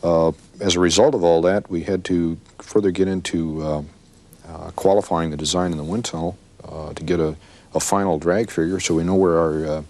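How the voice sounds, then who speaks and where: An American man with a deep, very low voice talks as a designer in a panel discussion. He explains things in an easygoing way, with a halting flow. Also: fairly even intonation, a little mellow. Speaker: vehicle designer. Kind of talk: panel discussion about product development.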